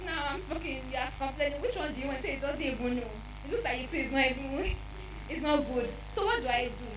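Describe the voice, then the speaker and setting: Soft-voiced woman on a panel, echoey hall. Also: high-pitched. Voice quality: soft, light voice. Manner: candid, storytelling, brisk. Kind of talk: panel discussion answer. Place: large church hall. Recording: roomy hall, distant mic, hum.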